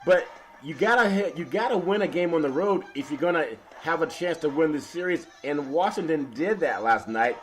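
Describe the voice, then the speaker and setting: A man with a raspy, half-lost voice hosting a casual sports talk show, laughing often and talking slowly. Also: on the high side, expressive, fairly bright tone, slight background noise. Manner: slow and laughing, keeps calling his co-host's name. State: hoarse, partly lost voice. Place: West Coast radio studio.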